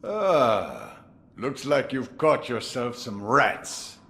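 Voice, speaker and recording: An actor playing a gruff old swordsman in a game cutscene, sly and relishing, with sudden loud outbursts. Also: mid-range voice, sing-song, drawn-out words, heavily acted. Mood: gruff theatrical. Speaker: mentor recruiting the hero. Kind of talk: video game cutscene acting.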